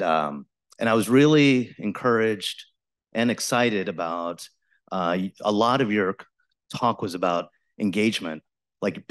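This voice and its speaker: A man in the audience with a loud voice, asking a long question into a handheld microphone at a live event in a chatty, informal way. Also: fairly low pitch. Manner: chatty, informal, self-deprecating. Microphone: handheld mic at a live event.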